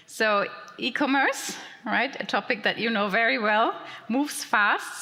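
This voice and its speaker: A woman moderating a conference panel, upbeat and brisk as she introduces the speakers and asks questions. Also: medium pitch, pushed and bright. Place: conference hall. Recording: hall reverb.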